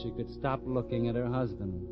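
A man's voice: medium pitch, fairly quick. A man narrating as a hard-boiled detective lieutenant, wry and clipped, in 1940s radio drama style. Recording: dull old radio recording with music.

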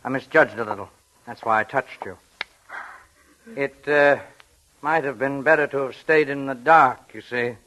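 A menacing intruder in an old-time radio thriller, acted by a man. His voice sits at a middling pitch with a tense, sharp edge. He talks in stiff, mock-polite phrases, pausing with quiet threat. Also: slight background noise, lightly acted, poor audio. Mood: mock-courteous menace. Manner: mock-courteous, formal, hesitant pauses with menace. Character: menacing, mannered, scarred male intruder posing as guest. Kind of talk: old-time radio suspense drama acting.

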